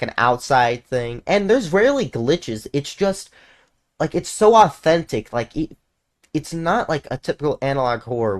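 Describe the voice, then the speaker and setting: A reaction video host giving casual, rambling commentary peppered with "like". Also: mid-range voice. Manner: rambling, frequent use of like.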